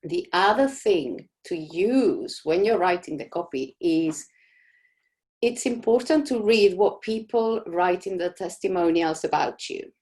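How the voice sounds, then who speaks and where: Chatty woman from Argentina, lowish voice. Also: lively intonation, unhurried. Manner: warm, chatty, many "you know" fillers. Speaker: host of a live Q&A for business owners. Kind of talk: casual live Q&A session.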